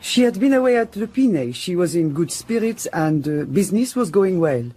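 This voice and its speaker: A husky, guarded maid. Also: female voice, fairly low pitch, animated, normal speed, dark tone. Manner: guarded, prim, firm. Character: loyal, guarded, matter-of-fact French maid, female. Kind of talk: radio drama dialogue.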